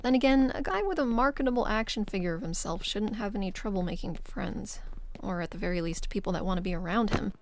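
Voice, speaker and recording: A woman's voice: medium pitch, expressive, quick pace, close to an everyday voice. A woman playing a skeptical, fretful young member of a biker crew in an audio drama.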